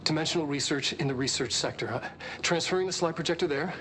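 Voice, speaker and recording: An actor playing an earnest, excitable research scientist who stammers through log entries in a video game. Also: male voice, fairly high pitch, a little hiss or hum, lightly acted. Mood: brisk, preoccupied. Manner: halting, stammering.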